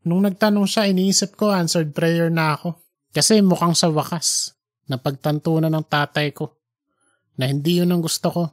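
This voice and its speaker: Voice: mid-range voice, fairly even intonation, normal speed, close to an everyday voice. A narrator as an aggrieved, troubled grown son. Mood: hurt, frustrated. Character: hurried, troubled grown son, hurt by father's expectations.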